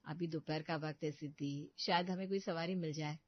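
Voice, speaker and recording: A woman's voice: low-pitched, fairly even intonation, moderate pace, dry recording, lo-fi sound. A woman voicing a respectful, dutiful young daughter-in-law in a family cartoon, speaking earnestly. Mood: weary. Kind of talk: Hindi family cartoon story voice acting.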